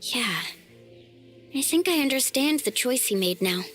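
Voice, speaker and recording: An actress playing an eager, caring young island adventurer in a video game, with an expressive, earnest delivery. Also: female voice, high voice, measured pace. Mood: thoughtful, earnest. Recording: game music behind the voice. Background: game music.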